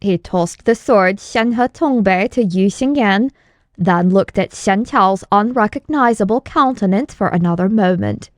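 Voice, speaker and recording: A woman's voice: medium pitch, a little slow, dry recording, clean studio sound. A woman reading scripted story narration as an audiobook narrator.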